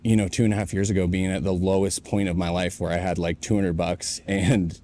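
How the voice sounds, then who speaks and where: A man's voice: sits low, flat delivery, brisk, mellow, a little hiss or hum. A man in his late twenties, co-hosting a podcast in a warehouse, telling his own story in an earnest, reflective way. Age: 20s. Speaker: podcast co-host. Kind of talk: casual chat.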